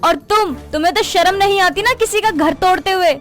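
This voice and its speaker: A woman's voice: very high-pitched, fairly quick. A woman playing a naive, devout young wife in a cartoon story, earnest and emotional. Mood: outraged.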